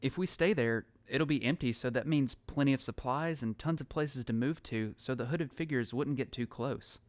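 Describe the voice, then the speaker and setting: A man's voice: medium pitch, normal speed, no room sound, lightly acted. A man playing a lonely, haunted survivor, narrating his story in a somber, reflective way for an audio drama. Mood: thoughtful. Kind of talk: scripted first-person audio drama narration. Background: music.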